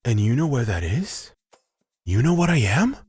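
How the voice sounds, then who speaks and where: A man's voice: mid-range voice, sing-song, normal speed, a little mellow, breathy edge, dry recording, faint music behind. A man playing a humble, laid-back elf wanderer in a fantasy audio drama, reflective and probing. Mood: probing, curious.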